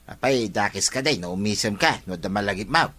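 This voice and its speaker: A man's voice: fairly low pitch, animated, brisk, sharp tone. An actor with an Ilocano accent playing a brash village man who needles his friends in a comedy drama. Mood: defensive.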